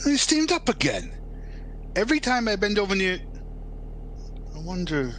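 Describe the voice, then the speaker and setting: A man's voice: high-pitched, huge pitch swings, moderate pace, fairly bright tone, exaggerated voice. A man playing a nervous, reluctant working-class morgue attendant in an old-time radio drama, colloquial and stammering. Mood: uneasy. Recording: noisy, humming background.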